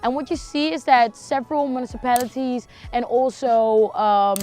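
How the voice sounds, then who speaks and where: A woman's voice: on the high side, moderate pace, a little mellow, faint music behind. A woman of twenty-one, telling her personal story in a reflective, conversational way. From Netherlands.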